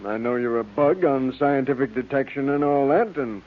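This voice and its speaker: A man's voice: mid-range voice, moderate pace, lightly acted. A man playing a doubtful but respectful questioner of a detective in an old radio serial, somewhat hesitant. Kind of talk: 1930s-40s radio serial acting. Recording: muffled vintage radio serial recording.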